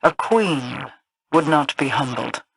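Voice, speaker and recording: A woman playing a solemn, proud queen in a video game, speaking formally and imperiously in measured phrases. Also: very deep voice, husky, dry recording, exaggerated voice, rough recording. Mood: regal, grave. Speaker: video game character voice actor. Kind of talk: scripted video game dialogue.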